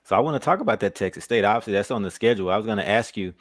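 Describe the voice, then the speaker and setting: A man's voice: lowish voice, animated, rapid-fire. A man interviewing a young football player, asking friendly questions and teasing him a little. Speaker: podcast interviewer. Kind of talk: casual sports interview.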